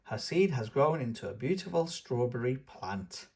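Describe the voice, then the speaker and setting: A man's voice: mid-range voice, sing-song, moderate pace, a little mellow. A man hosting a children's read-aloud, narrating a storybook in an enthusiastic manner. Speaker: children's book reader and channel host.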